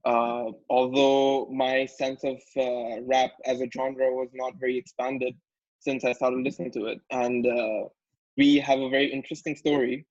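A man's voice: medium pitch, flat delivery, moderate pace, a little mellow, no echo. Hesitant young man, muffled dry audio. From India. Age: young adult. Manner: hesitant, with filler "uh". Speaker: podcast co-host. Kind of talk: casual chat.

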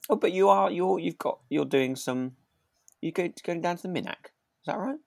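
A man co-hosting a relaxed podcast chat about a radio drama, speaking in a gentle, tentative way. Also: high-pitched, normal speed, vocal fry, no echo, clean studio sound. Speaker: podcast co-host.

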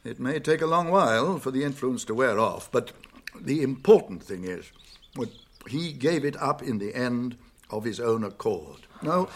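A British actor playing a wise, kindly old wizard in a radio drama, speaking gravely and deliberately with thoughtful hums. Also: male voice, medium pitch. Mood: tender, concerned. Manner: deliberate, pausing, murmuring hums and chuckles.

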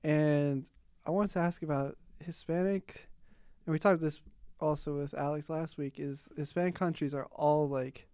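A young man hosting a casual podcast conversation, chatty and quick to laugh. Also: a little high, fairly even intonation, mellow, clean tone, dry recording, studio quality. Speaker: podcast host.

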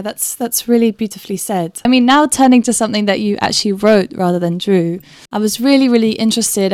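A woman's voice: mid-range voice, darkish tone, dry recording. Woman interviewer, curious, clean voice, dry close sound. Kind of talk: interview conversation.

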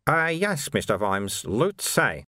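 A man's voice: mid-range voice, very animated, takes it slowly, pushed and bright, no room sound, clean studio sound, heavily acted. A man voicing a cheery, wily, skinny old bald monk in an audiobook, with a friendly, teasing assurance. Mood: cheerful, matter-of-fact.

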